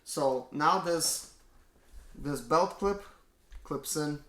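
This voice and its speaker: Bright-voiced man, excited unboxing vlogger at home, echoey room with faint hiss. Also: fairly high pitch, extremely slow. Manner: excited, exclaims often. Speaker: mobile mechanic YouTuber. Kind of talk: casual unboxing vlog. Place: indoors at home.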